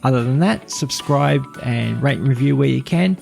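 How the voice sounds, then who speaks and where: Very gravelly male podcast reviewer. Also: mid-range voice, normal speed, a little mellow, soft background music. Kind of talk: scripted podcast review narration.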